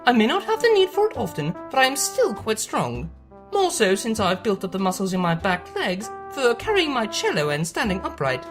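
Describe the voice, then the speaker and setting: Female reader as reserved, affectionate cartoon pony musician, high and proper, animated intonation. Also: moderate pace, over music. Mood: proudly prim. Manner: proper, precise diction.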